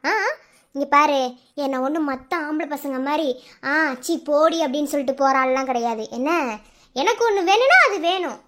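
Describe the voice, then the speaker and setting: A man's voice: extremely high pitch, normal speed, background noise. A man playing a spurned, vengeful young student in a melodrama, taunting and menacing with sneering questions. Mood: bitter, vengeful. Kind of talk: melodramatic audio drama villain acting.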